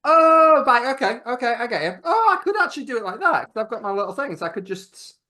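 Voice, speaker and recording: Guy hosting, chatty, laughs a lot, voice jumps all over, bright tone. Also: extremely high pitch, sing-song, a little fast. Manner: chatty, laughs often, self-deprecating. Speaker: host. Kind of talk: casual video-call chat.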